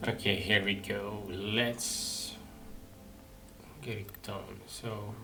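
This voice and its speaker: A man's voice: fairly low pitch, little pitch movement, very slow, gravelly. A man giving casual commentary while he plays a video game. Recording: game music loud behind the voice. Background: video game music.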